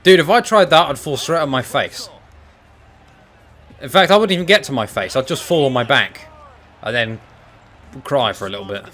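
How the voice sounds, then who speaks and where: A young man casually commentating a fight video game, excitable and breaking into shouts at big moments. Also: mid-range voice, normal speed, long pauses.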